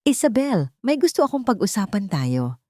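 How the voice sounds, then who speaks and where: A woman's voice: medium pitch, moderate pace, dry recording, studio quality, pitched above the performer's own voice, natural voice. Woman as a cold, authoritative betrayed husband. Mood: controlled. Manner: calm, sharp, authoritative. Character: betrayed wealthy husband, cold, controlled, stern man.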